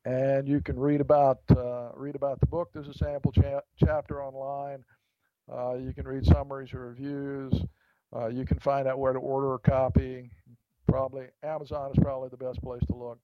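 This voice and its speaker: A man's voice: medium pitch, level tone, no echo. Reflective man telling a story, muffled line. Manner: reflective, with pauses and restarts. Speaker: author guest. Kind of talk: interview storytelling.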